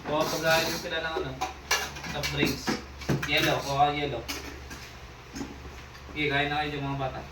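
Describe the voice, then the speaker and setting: A man playing a gruff, domineering father in an amateur student stage play, giving orders. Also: a little high, completely flat delivery, normal speed, long pauses, lightly acted. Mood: hospitable. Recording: very noisy, echoey, distant stage recording.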